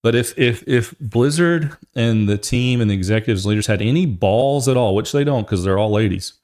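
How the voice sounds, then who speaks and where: A man's voice: medium pitch, moderate pace, a little mellow. A man hosting a gaming show, giving casual, opinionated commentary in a rambling, emphatic way and often repeating his phrases.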